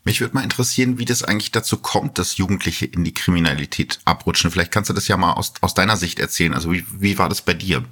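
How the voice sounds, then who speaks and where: A man's voice: sits low, fast, few pauses, bright, forceful tone, breathy edge. A man from rural Germany co-hosting a podcast in a conversational style, presenting and asking questions. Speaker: podcast co-host.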